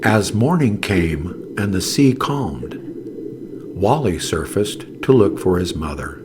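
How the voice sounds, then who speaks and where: Very slow, dramatic low-voiced male storyteller. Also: lively intonation, noisy background. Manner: dramatic, deliberate. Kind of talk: scripted children's story narration.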